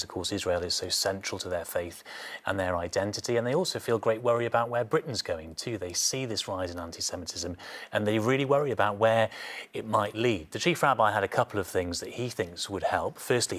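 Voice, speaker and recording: A man giving a measured, serious television news report as the reporter. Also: low voice, fairly bright tone. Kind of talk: scripted news report and live studio analysis.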